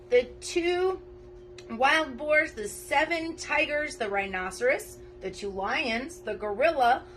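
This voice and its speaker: A woman reading a children's storybook aloud in an animated, emphatic way. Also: high-pitched, a little hiss or hum, poor audio. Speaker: parent volunteer reading aloud to schoolchildren. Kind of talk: expressive children's storybook narration.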